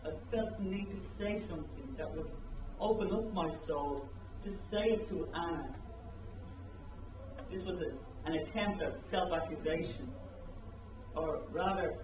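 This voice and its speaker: Male actor as regretful husband, middle-aged. Stage reading, deliberate and earnest, slightly high voice, distant microphone. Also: close to an everyday voice. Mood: regretful, tender. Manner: halting, deliberate, earnest delivery. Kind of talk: dramatic reading of a stage play. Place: echoing room or hall, recorded from afar. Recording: echoey room, distant mic, loud noise.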